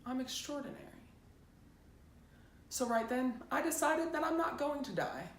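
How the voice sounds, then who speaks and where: A woman's voice: high-pitched, lively intonation, moderate pace, distant mic, pitched above the performer's own voice. A woman performing a stage monologue as a plucky, defiant teenage girl, chatty and emphatic. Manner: chatty, self-dramatizing, emphatic. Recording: roomy echo, faint background noise.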